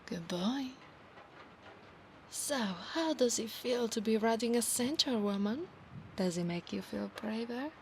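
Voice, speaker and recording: Casting: actress as possessive, doting female centaur knight; Italian accent, unhurried whispery ASMR delivery, touch of creak. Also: a little high, expressive, a little hiss or hum. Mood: playful, coaxing. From Italy. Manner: breathy, often slipping into whispers. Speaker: ASMR roleplay performer. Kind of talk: ASMR roleplay, soft-spoken with whispers.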